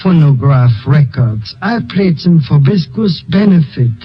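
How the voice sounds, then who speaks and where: A nervous, ashamed pianist who speaks slowly and falters over his words, his voice rising and falling a lot. It sounds like a dull old radio broadcast. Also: fairly high pitch, animated, soft background music. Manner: hesitant, halting, slow. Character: ashamed pianist Hans, proud and nervous man. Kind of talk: 1950s radio drama acting.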